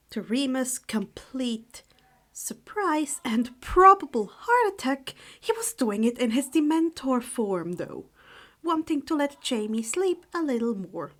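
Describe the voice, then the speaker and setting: A woman narrates a story in an expressive audiobook style. Her voice sits fairly high and she reads at a very slow, deliberate pace. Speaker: audiobook reader of fan fiction.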